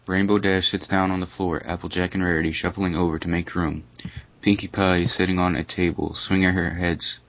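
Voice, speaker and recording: A man with a low voice reads fan fiction in a casual, bantering way. He slips into exasperated asides between passages. The recording is dull and lo-fi. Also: little pitch movement, a little fast, a bit bright.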